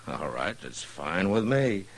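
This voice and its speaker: An American man playing a gruff, steady middle-aged western marshal in a radio drama, talking in a dry, wry way. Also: low voice, full character voice. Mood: wry irritation. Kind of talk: old-time radio western acting. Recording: noisy vintage radio recording.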